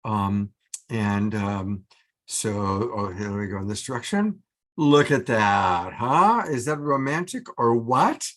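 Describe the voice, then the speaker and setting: A man's voice: on the low side, slow pace, fairly bright tone. Guy hosting a live online show, chatty and joking, really enthusiastic, on a video call. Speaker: show host.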